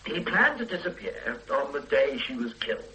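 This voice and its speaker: A man's voice: medium pitch, very animated, bright, forceful tone, a little hiss or hum, rough recording. An actor playing a confident, matter-of-fact male police detective in a radio drama, brushing off his partner's doubts. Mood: dismissive. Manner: brisk, dismissive, matter-of-fact.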